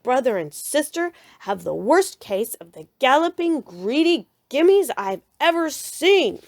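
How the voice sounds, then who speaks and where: A woman voicing a gruff, blustering father bear who roars when angry. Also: sits high, sing-song, unhurried, no echo, voice pushed up, full character voice. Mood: indignant roaring. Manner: roaring when angry, otherwise mild.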